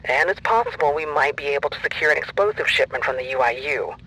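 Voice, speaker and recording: Covert-operation organizer, audio drama. Husky but forceful, higher-set voice, dry delivery, heard over radio. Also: male voice, lively intonation, fast talker, full character voice, low-quality recording. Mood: matter-of-fact, wry. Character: brisk male field organizer planning a covert operation. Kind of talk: naturalistic audio drama dialogue.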